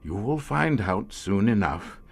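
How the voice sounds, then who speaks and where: A low-voiced, middle-aged inspector carries a radio horror play. He talks slowly and broodingly, with big swings of pitch and dramatic pauses, like a learned man who has guarded a terrible secret for years. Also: big pitch swings, creaky, slightly rough, soft background music, full character voice. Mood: ominous, haunted. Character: grave, haunted police inspector, former professor, guarding secret horror. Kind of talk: audio horror drama in old-time radio manner.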